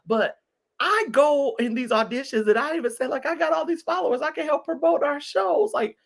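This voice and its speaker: A man in his thirties from Detroit, a guest singer chatting in an interview, expressive and animated. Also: very high-pitched, brisk, vocal fry. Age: 30s. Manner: lively, expressive, swings from loud exclamations to soft asides. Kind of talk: casual interview chat.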